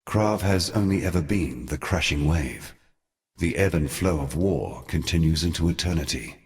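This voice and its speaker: Very deep, solemn ancient god. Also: male voice, expressive, a little mellow. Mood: grave, brooding. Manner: solemn, formal, measured theatrical delivery. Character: ancient weary male god, grave and scornful storyteller. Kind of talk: scripted dramatic video game narration.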